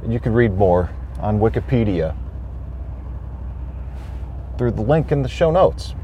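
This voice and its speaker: A man hosting a homestead podcast, talking thoughtfully with pauses and fillers. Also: fairly low pitch, expressive, dull audio, a little hiss or hum. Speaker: podcast host. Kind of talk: casual solo podcast talk. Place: on a homestead farm.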